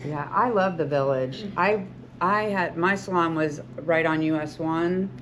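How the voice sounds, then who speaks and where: A woman's voice: low-pitched, unhurried. Encouraging woman in her sixties, noisy room. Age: 60s. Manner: earnest, encouraging, rambling with restarts. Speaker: hairstylist and salon owner. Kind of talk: talk to beauty school students. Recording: lo-fi with loud background noise.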